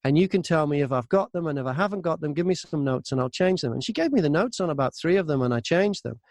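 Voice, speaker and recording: Higher-pitched man, dry studio sound, chatty. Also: animated, fast, a little mellow, no echo. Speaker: voice-over artist and audiobook narrator, interview guest. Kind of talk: casual conversational anecdotes. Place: very small closet converted to a studio.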